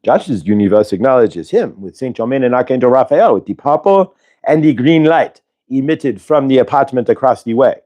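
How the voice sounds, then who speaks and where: A man voicing a benevolent otherworldly spirit collective in an affected, vaguely Indian-sounding accent, with drawn-out emphatic words, as in a live channeled healing session. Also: medium pitch, animated, mellow, close to an everyday voice. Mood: insistent, wondering. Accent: affected, vaguely Indian-sounding put-on accent.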